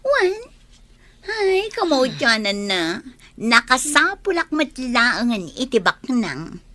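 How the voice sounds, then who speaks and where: A woman's voice: high-pitched, sing-song, takes it slowly, bright, forceful tone, heavily acted. An actress with an Ilocano Filipino accent playing a gushing, boastful young woman in a radio drama. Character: boastful young woman chasing a rich boyfriend.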